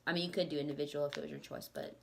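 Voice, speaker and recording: A woman's voice: low-pitched, fairly even intonation, racing, gravelly, slight background noise. A young woman co-presenting as a student teacher in a loose, conversational and tentative way. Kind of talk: casual instructional presentation.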